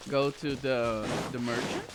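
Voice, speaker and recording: A player giving casual gameplay commentary, reading and reacting aloud in halting short bursts. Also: mid-range voice, fairly even intonation, heavy background noise. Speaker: gaming video creator playing a story quest. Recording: loud game music behind voice. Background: loud game music.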